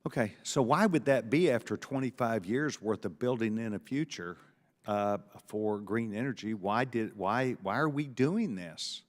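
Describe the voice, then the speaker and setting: A man's voice: on the low side, measured pace. A man questioning witnesses at a committee hearing, pressing and repeating himself as he interrupts. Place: large hearing room. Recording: hearing room with long reverb.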